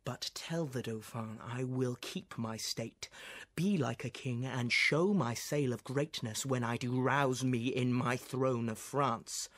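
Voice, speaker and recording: A man's voice: mid-range voice, slow pace, fairly bright tone. Young guy doing a proud shakespeare king, big declaiming, cold and mocking. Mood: defiant. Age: young adult. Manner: declamatory, lofty, rising emphasis. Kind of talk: Shakespeare monologue.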